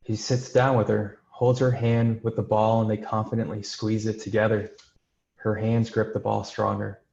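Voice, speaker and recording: A man's voice: on the low side, little pitch movement, moderate pace, creaky, darkish tone, a little hiss or hum. A man narrating screenplay stage directions in scripted narration for a table read. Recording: echoey room, dull video call sound.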